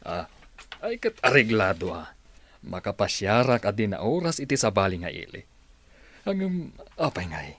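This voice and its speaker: A man's voice: fairly low pitch, very animated, moderate pace, long pauses, fairly bright tone, no echo. A man with a Filipino accent playing an easygoing friend in a family radio drama. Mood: friendly, agreeable.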